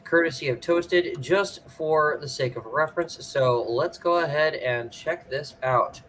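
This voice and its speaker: A man co-hosting a reaction video, introducing the segment slowly and deliberately. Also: a little high, a bit bright. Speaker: co-host.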